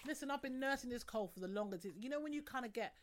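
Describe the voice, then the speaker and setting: A woman's voice: fairly high pitch, animated, very fast. A woman co-hosting a podcast, talking frankly and bluntly in casual chat. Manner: frank, outspoken, laughs easily. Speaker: podcast co-host.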